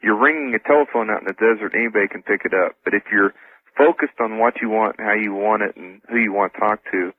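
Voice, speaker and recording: A male radio guest with a slightly low, husky voice explains things in an earnest, casual, somewhat hesitant way. He comes through a thin, tinny telephone line. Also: quick pace, no echo. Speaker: interview guest on talk radio. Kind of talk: casual explanatory interview talk.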